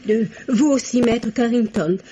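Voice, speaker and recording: An actress playing a prim, resentful spinster in a French radio mystery play, fussy and plaintive. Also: female voice, fairly high pitch, measured pace, dark tone, slight background noise, natural voice. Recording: muffled old French radio recording.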